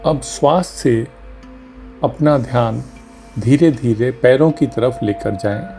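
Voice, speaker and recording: A man leading a guided meditation as a doctor, speaking gently and calmly in short phrases with long pauses. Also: medium pitch, creaky. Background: music under the voice.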